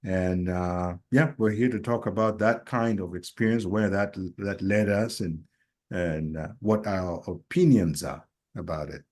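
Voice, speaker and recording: Low-voiced man, hesitant and reflective. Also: a little mellow. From grew up in Kenya. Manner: hesitant, reflective, many pauses and fillers. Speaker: podcast co-host. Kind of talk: casual conversational podcast.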